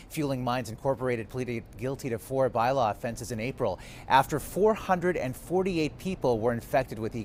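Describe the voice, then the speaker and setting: Male news anchor, faint rumble behind. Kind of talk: scripted news reading.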